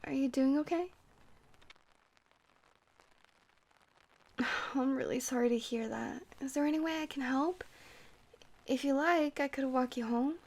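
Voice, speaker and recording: A woman playing a friendly vampire bartender who rambles gently and whimsically in an ASMR roleplay. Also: sits high, fairly even intonation, normal speed. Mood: gentle concern.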